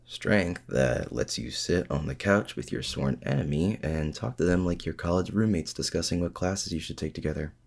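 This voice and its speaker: A man acts out a supervillain in an intimate roleplay audio drama, with a very low voice full of gravel. He is a feared crook with hidden self-doubt, talking to his heroic rival in a casual, halting, confiding way. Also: darkish tone. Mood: wry, confiding. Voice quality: very deep, gravelly.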